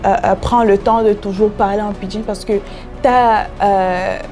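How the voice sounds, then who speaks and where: A woman's voice: medium pitch, fairly even intonation, measured pace, mellow, soft background music. A young woman from Cameroon, a singer-songwriter guest, talking reflectively in a casual interview with many fillers and restarts. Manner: reflective, frequent fillers and restarts, laughs easily.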